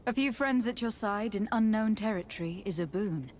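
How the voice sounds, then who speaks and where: A woman's voice: medium pitch, moderate pace, a little mellow, slight background noise, natural voice. An actress playing a poised, confident young noblewoman in a video game, formal and polite. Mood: playful. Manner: formal, composed.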